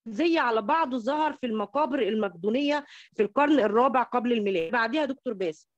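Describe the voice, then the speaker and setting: A woman with an Egyptian Arabic accent giving an academic slide lecture over an online call, halting and repeating words as she goes. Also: on the high side, expressive, dry recording, soft background music. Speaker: academic presenter. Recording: dull lo-fi online call audio.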